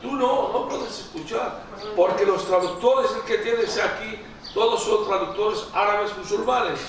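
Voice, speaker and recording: A man's voice: high voice, measured pace. A middle-aged man from Iraq, whose native tongue is Aramaic, lectures in a church with an Iraqi Arabic accent. He speaks emphatically, repeats phrases, and often slips and restarts words. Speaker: guest lecturer. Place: large echoey church hall. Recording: echoey hall audio from a distance with noise.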